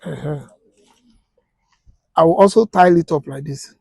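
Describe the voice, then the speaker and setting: A man's voice: on the high side, moderate pace, gravelly, a little mellow, breathy edge, no echo. A Nigerian man teaching a hands-on practical class as an instructor, explaining step by step and quizzing listeners with rhetorical questions. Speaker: technical instructor.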